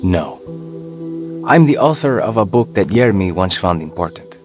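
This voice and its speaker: An actor playing a serene, enigmatic author who serves as a guide in a video game, speaking in a measured, formal, philosophical way. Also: male voice, deep voice, sing-song, music in the background. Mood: calm, reflective. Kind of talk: cinematic game dialogue.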